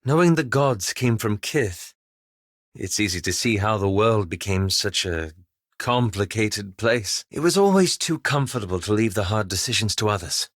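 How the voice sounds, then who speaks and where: A man playing a reserved, scholarly, self-doubting young elf adventurer in a video game, speaking in a formal, measured, wryly understated way. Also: lowish voice, no room sound, studio quality, lightly acted. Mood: reflective. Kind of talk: video game companion dialogue.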